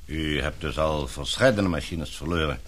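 A man playing a courteous, formal gentleman in a radio play. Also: rumbling low, normal speed. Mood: polite. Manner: polite, formal. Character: courteous, formal gentleman visitor, a knighted father.

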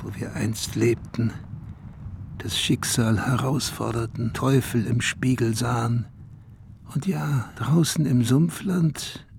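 An actor playing a brooding, reflective narrator from a dying town, in a measured, literary radio play monologue that drops to a hush in places. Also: male voice, mid-range voice, creaky, background noise. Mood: elegiac, resolute.

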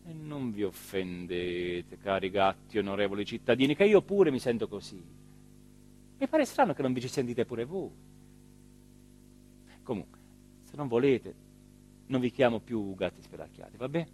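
An actor playing a rueful, self-important small-town mayor in a rambling, hesitant theatrical monologue. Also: male voice, mid-range voice, big pitch swings, darkish tone. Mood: coaxing, mournful. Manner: rambling, hesitant, emphatic, with repeated phrases and pauses. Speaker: stage actor performing a radio theatre monologue.

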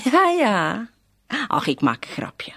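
A woman's voice: medium pitch, wildly swooping pitch, slow pace, creaky, sharp tone, no echo, full character voice. A woman playing a suspicious, needling wife in a radio drama, with a pointed, questioning way of talking.

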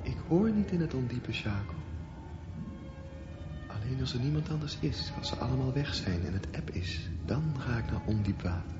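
An actor playing a dreamy, defiant young swimmer thinking aloud in a poetic radio drama monologue. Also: male voice, mid-range voice, lively intonation, normal speed, close to an everyday voice. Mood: proud, dreamy. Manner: poetic, musing. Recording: dull lo-fi radio play recording. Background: prominent music.

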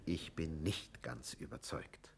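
An actor playing a courteous, grave doctor in a 1950s radio play, speaking in a halting, deliberate and formally polite way. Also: male voice, rumbling low, vocal fry, full character voice. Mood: grave, hesitant.

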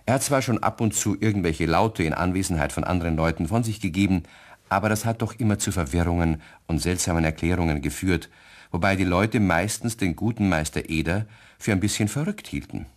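Ein Mann erzählt als Sprecher eines Kinderhörspiels eine vorbereitete Geschichte. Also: male voice, low-pitched, fairly quick. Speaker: narrator. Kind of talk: scripted storytelling narration for a children's radio play.

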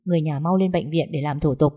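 A woman's voice: low-pitched, fast, warm tone. A woman voicing a young female hospital caller who speaks politely and formally.

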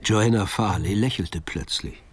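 A man's voice: lowish voice, a little slow, husky, no echo, faint music behind. A man narrating a radio play as a scripted storyteller.

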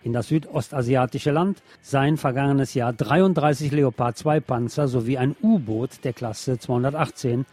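Newsreader, man with a mellow voice. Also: mid-range voice, slightly rough. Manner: measured, formal read-out delivery. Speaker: news reader. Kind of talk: scripted news narration.

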